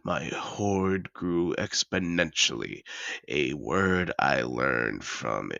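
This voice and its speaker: Man voicing a very deep, gravelly, suave dragon. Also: lively intonation, bright, forceful tone, no echo, lo-fi sound, exaggerated voice. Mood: suave, self-satisfied. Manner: theatrical, refined, unhurried storyteller. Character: suave, possessive, wealthy male dragon in human disguise. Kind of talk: scripted in-character audio roleplay monologue.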